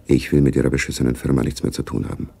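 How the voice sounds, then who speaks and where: A man's voice: very deep voice, flat delivery, rapid-fire, creaky, a little mellow, husky, full character voice. A man narrating a radio play spy story in a grave, knowing, literary manner. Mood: brooding.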